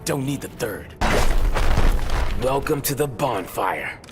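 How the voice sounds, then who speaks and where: A man's voice: mid-range voice, sing-song, takes it slowly. A man voicing a cocky young superhero with fiery powers in a video game, brash and wisecracking. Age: young adult. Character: cocky young male superhero who wields nuclear fire. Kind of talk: video game character dialogue. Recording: game audio with music behind.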